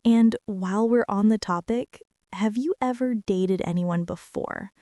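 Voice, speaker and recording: A woman's voice: medium pitch, unhurried, warm tone, no echo, clean studio sound, close to an everyday voice. A young woman playing a bossy, defensive college girl with a secret crush, rambling and teasing straight to the listener in an intimate girlfriend ASMR roleplay. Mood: defensive, nosy.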